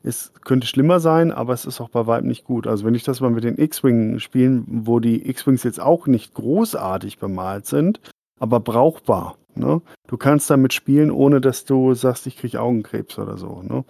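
A man's voice: medium pitch, moderate pace, creaky, mellow, no echo, clean studio sound. A man hosting a casual hobby podcast, chatty and rambling, often laughing. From Germany. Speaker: podcast host. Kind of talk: casual chat.

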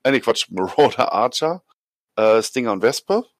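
A man with a hoarse voice chatting casually on a podcast, excited and joking as he rambles. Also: mid-range voice, moderate pace, dry recording, studio quality. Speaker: podcast co-host. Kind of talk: enthusiastic casual chat.

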